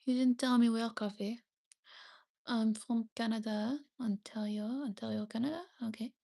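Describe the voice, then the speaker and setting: A woman's voice: on the high side, steady tone, moderate pace, gravelly, warm tone. A young woman chatting casually on a live stream, reading viewer comments and thanking them often, with frequent hesitations. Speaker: livestreamer.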